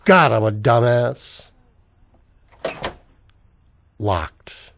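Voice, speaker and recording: A man's voice: mid-range voice, lively intonation, very slow, creaky, a bit bright, a little hiss or hum, full character voice. An actor playing a weary, cynical man in a scripted radio-style noir drama. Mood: brooding. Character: weary, cynical, down-on-his-luck man narrating a noir tale. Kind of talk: scripted noir narration in the manner of old radio plays.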